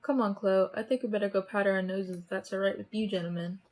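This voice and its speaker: A woman's voice: medium pitch, fairly even intonation, fast talker, darkish tone, close to an everyday voice. A woman playing a tough, wary, streetwise gangster's gal in a student radio play.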